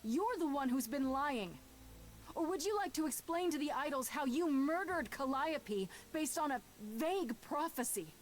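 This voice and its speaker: A woman's voice: high-pitched, animated, moderate pace, slight background noise. An actress playing a bold, defiant young woman who accuses gods, in a video game. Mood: firm accusation.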